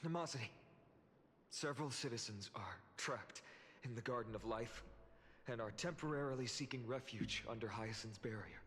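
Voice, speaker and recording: An actor voicing an earnest young male leader in a video game, speaking in a sincere, measured way. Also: mid-range voice, fairly bright tone, slight background noise, natural voice. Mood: commanding. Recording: game audio with music and reverb.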